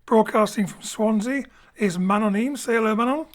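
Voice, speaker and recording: A man's voice: sits high, normal speed. A man introducing an audio drama as its director in an informal, jokey way. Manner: jokey, chatty. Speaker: director, show host.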